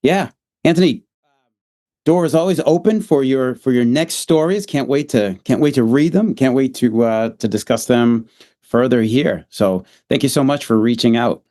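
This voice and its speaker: A man with a Boston accent hosting a podcast interview, encouraging and quick with short replies. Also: medium pitch. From United States. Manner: warm, encouraging, short back-channel replies. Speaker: podcast host.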